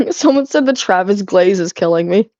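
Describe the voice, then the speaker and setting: A woman's voice: medium pitch, lively intonation, moderate pace, warm tone, no echo. A young adult woman streaming while she cleans, chatting loosely with her viewers, giggling and swearing often. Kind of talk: casual live-stream chat while cleaning. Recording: slightly muffled, dry sound.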